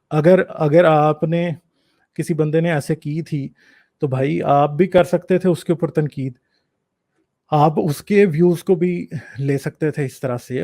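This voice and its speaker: A man's voice: fairly high pitch, darkish tone, no echo. A man giving informal opinion commentary to viewers, explaining his points and mixing in English words. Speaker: online commentator hosting own channel.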